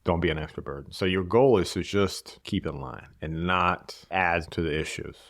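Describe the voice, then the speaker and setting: Deep-voiced man, measured and reflective. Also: no echo, clean studio sound. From Bosnia. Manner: measured, repeats key phrases. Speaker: guest. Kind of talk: reflective interview conversation.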